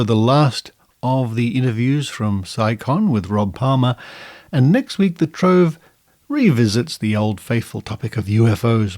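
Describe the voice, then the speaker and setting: A man's voice: lowish voice, darkish tone, no room sound, clean studio sound. An Australian-accented man hosting a podcast, reading out what is coming up. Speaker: podcast host. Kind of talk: scripted podcast outro.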